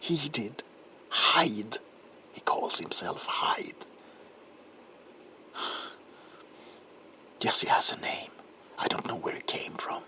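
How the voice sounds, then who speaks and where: A man playing an anguished, guilt-ridden doctor confessing in an ASMR roleplay monologue, halting and intense, with a breathy voice that often drops into a whisper. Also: mid-range voice, lively intonation, pushed and bright. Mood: anguished denial. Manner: halting, haunted, intense, broken phrases.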